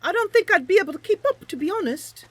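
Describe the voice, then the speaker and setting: A woman's voice: very high voice, expressive, fast talker, no room sound, voice pushed up. A woman voicing an earnest, apologetic young female wood elf for a game roleplay, hesitant, naive and plain-spoken. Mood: sheepish.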